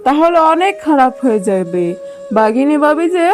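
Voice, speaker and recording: Woman voicing a high-pitched gentle jackal mother. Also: sing-song, moderate pace, soft background music. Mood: worried, explaining. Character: poor, gentle, obedient mother jackal, a hardworking maid. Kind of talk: children's cartoon dubbing.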